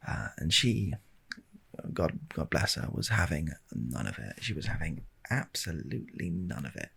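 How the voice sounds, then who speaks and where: A British man telling a rambling anecdote in a soft, breathy ASMR style, hesitant and wryly understated, close to the microphone. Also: very deep voice, very animated, normal speed. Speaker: ASMR video creator. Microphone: handheld stereo recorder, close up.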